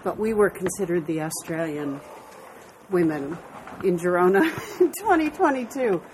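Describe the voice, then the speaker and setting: A woman's voice: lowish voice, animated, moderate pace, darkish tone, slight background noise, low-quality recording. An older American woman, a guest walking artist, chatting in a meandering way while walking outdoors through city streets. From United States. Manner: chatty, meandering, laughs easily. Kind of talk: casual walking interview.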